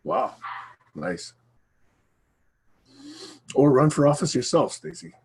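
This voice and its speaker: A hoarse man hosting a casual group video call, speaking low and clearing his throat often. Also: big pitch swings, takes it slowly. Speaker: discussion group host. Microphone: computer microphone on a video call.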